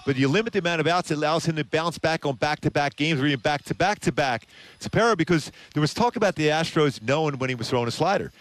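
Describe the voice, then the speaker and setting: A man's voice: a little high, quick pace, no room sound. A man in his fifties giving emphatic, conversational postgame analysis as a sports commentator. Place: open broadcast desk at a ballpark concourse. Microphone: headset.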